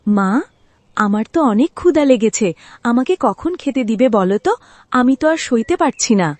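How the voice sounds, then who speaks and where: Woman doing bratty cartoon stepsister, vain n snooty, whiny swoopy high voice. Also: animated, moderate pace, a little mellow, dry recording, natural voice. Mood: whining. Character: spoiled, vain, haughty young stepsister. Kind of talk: Bengali cartoon dialogue.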